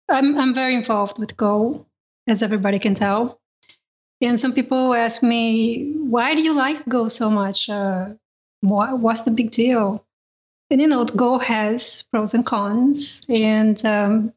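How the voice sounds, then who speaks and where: A woman with fairly high pitch whose first language is Portuguese speaks English on a podcast. She is earnest and emphatic in casual panel talk. The audio is dull and lo-fi. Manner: earnest, emphatic, tells personal preferences. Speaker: podcast co-host.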